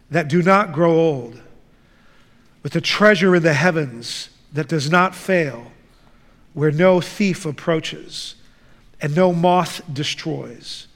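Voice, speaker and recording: A man who grew up in the Netherlands, preaching a sermon and reading scripture in a slow, deliberate way. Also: on the high side. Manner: slow, deliberate, measured delivery. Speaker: guest preacher. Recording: reverberant church hall.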